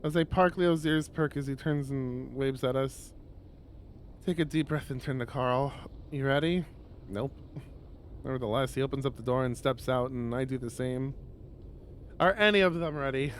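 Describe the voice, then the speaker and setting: A man reading a visual novel aloud on a livestream as dramatic, expressive narration with drawn-out phrases. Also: medium pitch, slight background noise.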